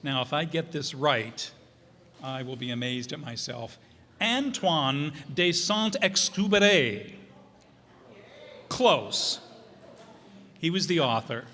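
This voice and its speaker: A man introducing a show informally, reading from notes in a casual, halting, musing way. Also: a little high, big pitch swings, sharp tone. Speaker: presenter introducing a radio players' show. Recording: echoey hall.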